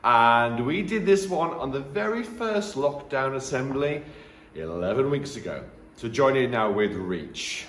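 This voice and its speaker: A man with a slightly croaky voice, a primary school teacher leading an assembly in a chatty, gently jokey way. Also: medium pitch, sing-song, a little slow, roomy sound, a little hiss or hum. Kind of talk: friendly assembly address to pupils and families.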